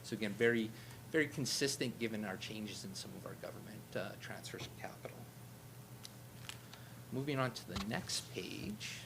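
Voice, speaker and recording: Low-voiced guy walking a council through finances, kinda droning, loud rumble behind him. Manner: steady, explanatory, calls own voice droning. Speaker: auditor presenting financial statements. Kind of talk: presenting to a town council. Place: council meeting room. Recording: meeting recording with loud background rumble.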